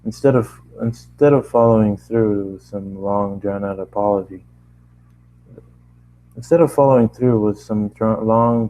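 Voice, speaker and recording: A man's voice: fairly low pitch, dull audio, slight background noise. A man narrating first-person fan fiction in a conversational way, stumbling and re-reading lines as he goes. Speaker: amateur story narrator.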